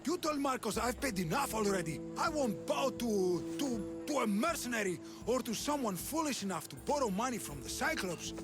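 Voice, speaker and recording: An actor playing a defiant, flustered merchant in a video game. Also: male voice, very high-pitched, sing-song, normal speed, hardly any pauses, over music. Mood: defensive pleading. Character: defiant, flustered male merchant pleading over a debt. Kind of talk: dramatic video game acting.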